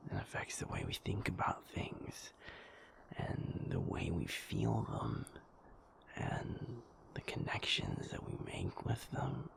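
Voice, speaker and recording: A man playing a gentle, patient werewolf in an intimate audio roleplay, soothing and reassuring in a halting, breathy, creaky whisper. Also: lowish voice, wildly swooping pitch, exaggerated voice. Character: gentle, patient male werewolf comforting a sleepless partner.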